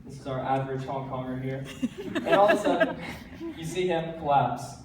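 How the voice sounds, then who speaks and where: A teenage man with an American accent, giving an earnest, energetic stage talk with light self-deprecating jokes. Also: medium pitch, moderate pace. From United States. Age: teens. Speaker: TEDx speaker. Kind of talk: stage talk to a live audience. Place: large hall. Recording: very echoey hall, voice from a distance, faint background noise.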